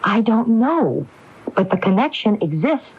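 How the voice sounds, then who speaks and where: Determined widow on dull AM radio. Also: female voice, medium pitch, sing-song, a little slow, slightly rough, full character voice. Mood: pleading, weary. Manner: insistent, probing. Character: determined, anguished widow probing her husband's murder. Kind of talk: 1980s radio mystery drama acting. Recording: dull lo-fi AM radio broadcast recording.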